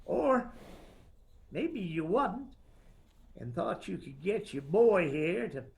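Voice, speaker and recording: A man's voice: high-pitched, big pitch swings, takes it slowly, vocal fry, darkish tone, exaggerated voice. An actor playing a sly, menacing older feuding mountain man in a radio drama, with a taunting, folksy Appalachian drawl. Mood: mock-sorry menace.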